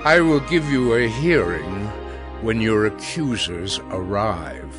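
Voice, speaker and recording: An actor playing a stately Roman governor with an imperious, official manner in an audio Bible drama. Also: male voice, lowish voice, expressive, music in the background. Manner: deliberate, official.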